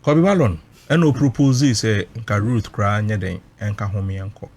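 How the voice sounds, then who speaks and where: A man's voice: fairly low pitch, moderate pace, darkish tone. A Ghanaian man recounting personal experience emotionally, mixing Twi and English, emphatic and dramatic, repeating phrases for weight.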